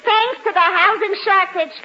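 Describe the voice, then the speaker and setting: Long-suffering housewife, Yiddish accent, very high voice, wry emphatic delivery, dull vintage radio sound. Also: female voice, moderate pace, bright, forceful tone, a little hiss or hum. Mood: wry, exasperated. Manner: wry, emphatic, inverted immigrant sentence order. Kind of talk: 1940s radio comedy acting.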